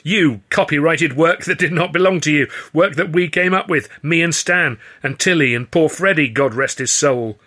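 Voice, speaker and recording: A man's voice: a little high, lively intonation, unhurried, hardly any pauses, fairly bright tone, slightly rough, pitched above the performer's own voice, exaggerated voice. A man voicing an indignant, combative male comedy performer in an audiobook story. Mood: outraged.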